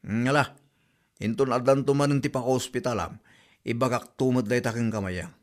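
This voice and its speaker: A man's voice: medium pitch, steady tone, moderate pace, lightly acted. A man playing a nagging, exasperated village husband with an Ilocano accent in a comedy drama podcast.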